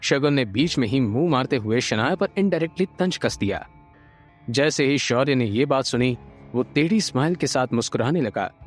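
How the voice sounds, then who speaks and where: Male narrator for a serial audio drama: mid-pitched, highly expressive, dramatic, soft music bed. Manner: expressive, suspense-building. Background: light background music.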